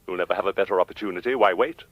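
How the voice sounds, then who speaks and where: An actor playing a polite, formal crime boss who threatens with measured courtesy in a radio thriller. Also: male voice, deep voice, dry recording, phone-quality sound. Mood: silky threat. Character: coolly menacing, courteous male crime boss.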